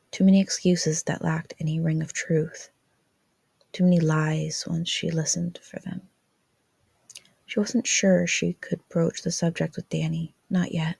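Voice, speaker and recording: Woman, low register, husky, creaky, dramatic scripted storytelling, dry recording. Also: dark tone, no echo. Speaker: fan fiction reader.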